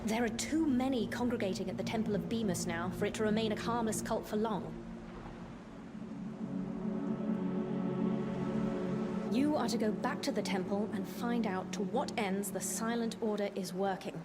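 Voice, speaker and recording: A woman playing a stern, imperious noble commander in a video game, speaking formally and with authority over loud background noise. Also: medium pitch, a little fast, hardly any pauses, over loud music, lightly acted. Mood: commanding. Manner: formal, authoritative, clipped reprimands. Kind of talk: dramatic video game acting.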